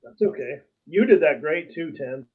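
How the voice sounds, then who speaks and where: A man's voice: a little high, animated, normal speed, breathy edge. A man co-hosting a podcast in casual conversation, recorded with slightly dull sound. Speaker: podcast co-host. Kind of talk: casual chat.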